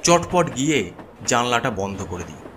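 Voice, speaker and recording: A man's voice: medium pitch, very animated, normal speed, background noise. A man narrating a horror story in the first person in a dramatic, suspenseful, theatrical way.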